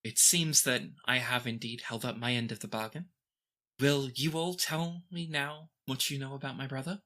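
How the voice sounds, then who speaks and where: A woman voicing a formal, composed princess in a measured, courteous manner for a tabletop game. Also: very deep voice, bright, forceful tone. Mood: gracious, probing.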